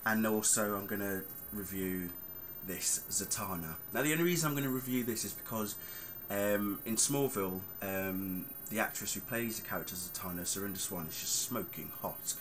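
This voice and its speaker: A man's voice: lowish voice, moderate pace, few pauses, fairly bright tone. A man, chatting casually and rambling on a vlog with plenty of fillers. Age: 30s. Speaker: vlogger. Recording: clear background noise.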